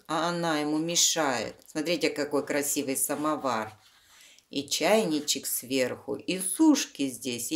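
A woman reading a children's storybook aloud, explaining the pictures simply to young listeners. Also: low-pitched. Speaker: children's book reader.

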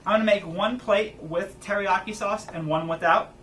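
A man in his thirties hosting an energetic, chatty cooking demo outdoors in his backyard garden. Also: sits high, moderate pace, distant mic, slight background noise. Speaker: cooking show host. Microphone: far camera, outdoors.

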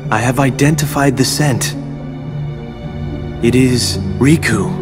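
A blunt, suspicious hooded tracker, voiced by a man speaks in short, flat lines. Loud, tense music fills the game cutscene behind him. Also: medium pitch, slow pace, natural voice. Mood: curt. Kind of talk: video game cutscene dialogue.

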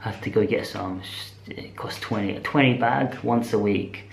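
A British man in his twenties talking casually to camera in a rambling, slangy vlog style, speaking in animated bursts. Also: lowish voice, normal speed, gravelly. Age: 20s. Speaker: vlogger. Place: small indoor room with bare walls. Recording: echoey, roomy sound.

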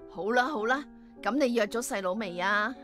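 An actress playing a caring, gently reproachful middle-aged mother in a Hong Kong Cantonese radio drama. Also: on the high side, normal speed, faint music behind, close to an everyday voice.

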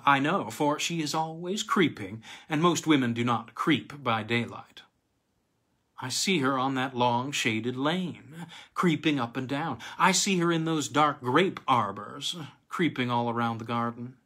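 Husky middle-aged man reading a story aloud. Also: on the high side, pushed and bright. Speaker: reader of weird tales. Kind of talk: expressive literary audiobook-style story reading. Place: small home room with bookcase, indoors.

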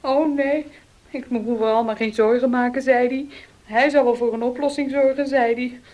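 A woman's voice: a little high, fairly even intonation, normal speed, a little mellow, natural voice. An actress playing Gonda, a distressed young servant woman, in a 1970s radio play. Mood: troubled. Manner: pleading, tearful.

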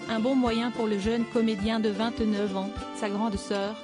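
A woman reading a scripted celebrity news narration in an even, synthetic-sounding way. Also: fairly high pitch, unhurried. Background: background music.

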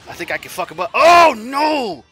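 A man in his forties talks through his online fighting game matches, muttering plans one moment and yelling at his opponent the next. His voice sits high and bright and swings widely in pitch. He curses freely and mocks himself between rounds, while game music plays faintly behind him. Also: big pitch swings, normal speed, husky. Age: 40s. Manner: profane, self-mocking trash talk. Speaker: gaming YouTuber. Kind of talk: live gameplay commentary.

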